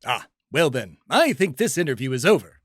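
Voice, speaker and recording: A man's voice: medium pitch, big pitch swings, brisk, no echo. A young man hosting a scripted comedy podcast, speaking in a pompous, grandiose and theatrical manner. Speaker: podcast host.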